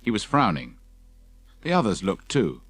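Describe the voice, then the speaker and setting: A man's voice: lowish voice, huge pitch swings, measured pace, fairly bright tone, breathy edge, no echo, soft background music. A man reading scripted narration from a children's adventure story as an audiobook narrator.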